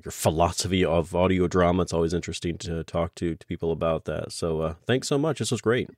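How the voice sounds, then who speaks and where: A man's voice: deep voice, fast, a little mellow, dry recording, studio quality. A man hosting a podcast in a casual, conversational style. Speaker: podcast host.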